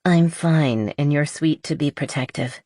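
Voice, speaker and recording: A woman's voice: low voice, measured pace, dark tone, dry recording, studio quality. Woman voicing a bubbly, tipsy young woman, lilting. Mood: tipsy, playful. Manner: playful, chatty, giddy.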